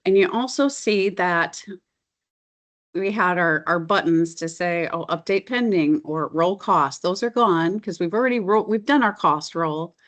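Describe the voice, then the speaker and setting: A woman with a voice a little lower than most gives a software demonstration. She explains each step conversationally, in an informal and enthusiastic manner, like a consultant training users. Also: a little slow. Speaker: software consultant presenting new features. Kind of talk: software demo walkthrough, conversational.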